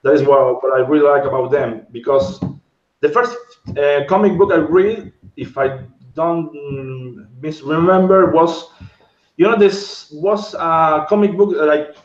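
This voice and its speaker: A man's voice: fairly high pitch, unhurried. A man in his thirties from Argentina whose first language is Spanish, a guest sculptor chatting in a rambling way and searching for English words. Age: 30s. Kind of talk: casual interview chat. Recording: dull, roomy sound.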